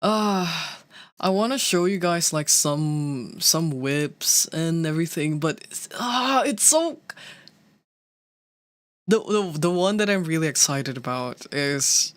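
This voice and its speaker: A streamer and cover singer chatting casually, talking haltingly with many restarts and fillers. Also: medium pitch, lively intonation, no echo. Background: faint background music.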